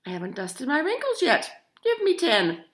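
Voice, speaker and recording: A woman voicing a bold, cheery, mischievous old grandma from a storybook, with hearty, brisk exclamations. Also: high voice, wildly swooping pitch, voice pushed up.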